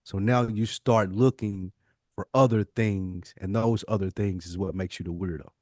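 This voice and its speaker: A man with an American accent and a fairly low voice, talking casually on a podcast. He shares opinions as a host, and the sound is dry and close. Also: steady tone, normal speed, a little mellow, dry recording.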